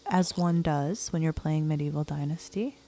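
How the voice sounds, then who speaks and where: A woman hosting a relaxed gameplay video, her voice scratchy and croaky from a cold, chatting in a laid-back, self-deprecating way. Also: low voice, normal speed. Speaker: gaming channel host. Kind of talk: casual gameplay commentary.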